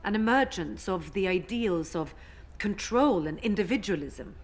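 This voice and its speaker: A woman playing an intense, awed describer of monumental art in an audio drama, with measured, emphatic phrasing. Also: mid-range voice, animated, natural voice.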